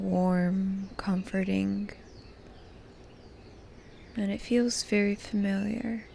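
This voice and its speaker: A woman hosting a guided meditation in a calm, gentle, soft voice that turns breathy at times, pausing between short phrases. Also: medium pitch, slight background noise. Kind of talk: guided meditation, soft slow soothing delivery.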